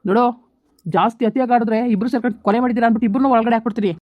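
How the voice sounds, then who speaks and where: A woman playing a male police officer who shouts, scolds and insults a woman and her husband. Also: a little high, steady tone, very fast, no echo, muffled recording, lightly acted. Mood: scolding. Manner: shouting, abusive, contemptuous.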